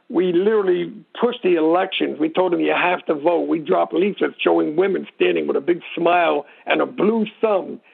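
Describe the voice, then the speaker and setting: A man with a gravelly, husky voice explaining history informally as an interview guest, rambling and digressing as he tells it. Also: on the high side. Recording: muffled narrow phone-like line.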